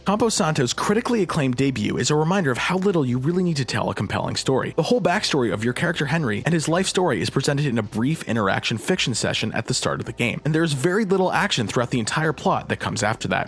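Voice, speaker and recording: A man's voice: mid-range voice, slightly rough. A man reading scripted review narration as a video essay narrator.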